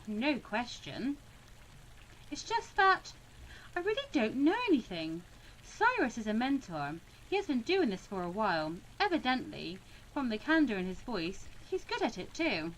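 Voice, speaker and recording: Woman acting as sulky young schoolgirl mediator, visual novel game, high voice, emphatic, very animated, reluctant. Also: slight background noise, low-quality recording. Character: young female student mediator, indignant, reluctant, principled. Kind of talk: visual novel read-aloud.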